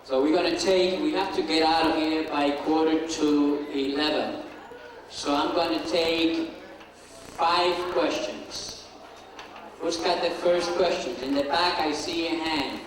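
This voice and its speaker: A man moderating a school assembly, speaking slowly and deliberately with a slightly high voice. He is heard from afar in an echoey, noisy school auditorium. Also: fairly even intonation. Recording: echoey hall from far off, noisy.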